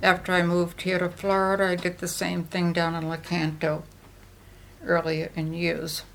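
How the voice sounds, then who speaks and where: An older woman with a New England accent shares her recovery story in a low, earnest voice. She often restarts her phrases as she speaks. A steady hiss of background noise runs under the recording. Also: moderate pace, vocal fry, low-quality recording. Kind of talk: personal testimony.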